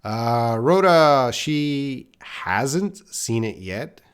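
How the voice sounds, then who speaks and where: A middle-aged man speaks as an English teacher on a live stream. His pace is slow and his pitch sits in an ordinary male range. He talks plainly to learners, calling them by name and repeating words for emphasis. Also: lively intonation, clean tone. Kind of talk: live-stream teaching, answering student comments.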